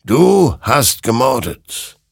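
A man's voice: medium pitch, very animated, very slow, vocal fry, breathy edge, dry recording, clean studio sound, pitched above the performer's own voice, heavily acted. A man voicing an all-knowing, menacing ghostly rider of death in an audio story, solemn and accusing.

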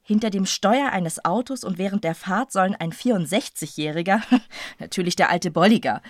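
A woman's voice: on the low side, dry recording, clean studio sound. A woman playing a sarcastic, fed-up police detective in a crime drama. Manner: sarcastic, exasperated. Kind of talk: radio crime drama acting.

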